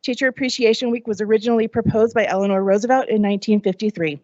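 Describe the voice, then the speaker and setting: Fast-talking middle-aged woman at a podium. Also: medium pitch, fairly even intonation, darkish tone, dry recording. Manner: earnest, measured, read from notes. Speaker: public commenter, educator. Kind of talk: prepared public comment. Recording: dull lo-fi meeting audio.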